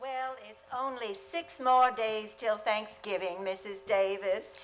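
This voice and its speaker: A woman's voice: medium pitch, little pitch movement, slow pace, close to an everyday voice. A woman playing a wry schoolteacher with a cutting wit in an old-time radio comedy. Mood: dry, wry. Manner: dry, sardonic. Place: theater stage, live radio play.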